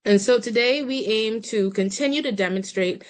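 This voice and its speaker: A woman's voice: on the high side, moderate pace. A young woman from the Bahamas presenting slides in a webinar over a video call, politely guiding the audience through a poll.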